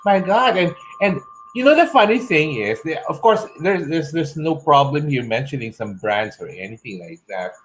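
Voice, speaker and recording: A man's voice: a little high, animated, normal speed, fairly bright tone, poor audio. Give me a middle-aged male host gushing over his guests on a video call. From Philippines. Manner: gushing, effusive, exclaims a lot. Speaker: talk show host. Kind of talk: online interview show hosting. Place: home room with shelves. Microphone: earphone headset mic on video call.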